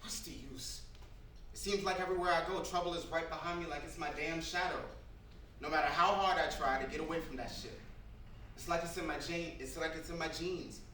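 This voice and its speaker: A man's voice: on the high side, steady tone, a little fast, sharp tone. A man playing a defiant, weary young prisoner, blunt and streetwise, in a staged screenplay reading. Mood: bitter, despairing. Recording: distant mic in echoey noisy room.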